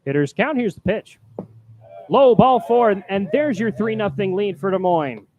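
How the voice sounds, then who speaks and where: A man calling live baseball play-by-play from a booth as announcer, projecting loudly on the calls. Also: high-pitched, lively intonation, moderate pace. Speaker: baseball play-by-play announcer, also doing public address. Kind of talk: live play-by-play sports commentary. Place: press booth at a ballpark. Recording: clear background noise.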